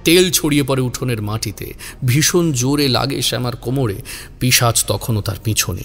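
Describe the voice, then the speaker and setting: A man's voice: medium pitch, moderate pace. Male horror story narrator over music.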